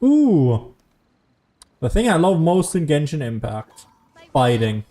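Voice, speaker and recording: A man's voice: on the high side, big pitch swings, slow pace, dark tone. A man who is a native German speaker, streaming a video game with casual, rambling, self-deprecating commentary.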